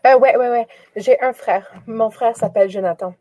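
A woman's voice: on the high side, moderate pace, warm tone, dry recording. A woman from Quebec, chatting as an encouraging, reassuring conversation partner. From Quebec, Canada. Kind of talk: casual question-and-answer conversation practice.

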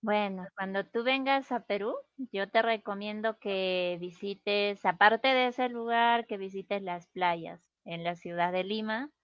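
Peruvian woman, slow, patient talk. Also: medium pitch, clear, resonant tone, no room sound, lo-fi sound. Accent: Peruvian Spanish. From Peru. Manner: slow, patient, explains with pauses. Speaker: conversation partner. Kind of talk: casual conversation.

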